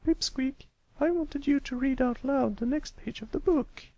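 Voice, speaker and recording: A man voicing a kindly, concerned female pony schoolteacher in falsetto, in a storybook reading. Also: moderate pace, warm tone, no room sound, highs cut off. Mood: stern, concerned.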